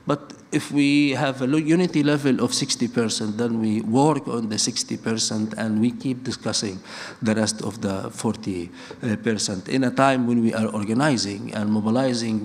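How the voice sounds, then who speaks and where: A middle-aged man who grew up in Lebanon and speaks natively, giving an earnest public talk with frequent restarts and repeated phrases. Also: fairly low pitch. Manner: earnest, building points through repetition, frequent restarts.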